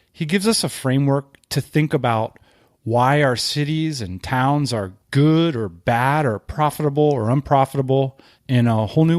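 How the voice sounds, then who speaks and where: A man's voice: fairly high pitch, no room sound, studio quality. A man hosting a podcast, introducing the show in a conversational way. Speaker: podcast host.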